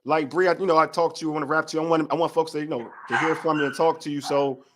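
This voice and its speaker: A man's voice: on the high side, steady tone, racing, noisy background. A man, hosting a casual sports podcast over a video call and talking in loose, slangy repeats. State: hoarse from a cold. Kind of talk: casual sports talk show.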